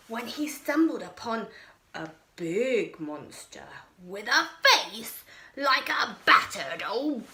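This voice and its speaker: A woman reading a children's picture book aloud as a storyteller, with expressive, deliberate and dramatic delivery. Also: fairly high pitch.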